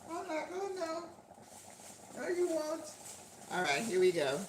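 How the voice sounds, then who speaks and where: A woman's voice: high-pitched, huge pitch swings, unhurried. An older woman hosting a channel, chatting casually with viewers while cooking at home. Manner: chatty, talks to viewers and laughs often. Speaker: channel host cooking live. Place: home kitchen. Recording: echoey kitchen with faint background noise.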